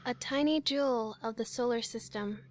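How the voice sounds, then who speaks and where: A woman playing a solemn narrator of a far-future war in a video game, speaking in a measured way. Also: on the high side, natural voice. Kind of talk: scripted dramatic narration.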